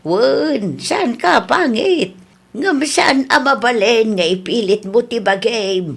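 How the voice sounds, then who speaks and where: Blunt mother, female actor, husky and fairly high, scolding, radio drama. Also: animated, slow, full character voice. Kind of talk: Ilocano radio drama acting.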